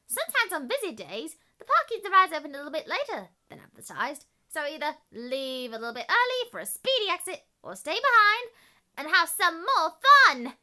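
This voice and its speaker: A woman's voice: very high-pitched, big pitch swings, brisk, pushed and bright. A girlish female presenter giving a scripted promotional tour as a junior reporter, in a perky, exclamatory way.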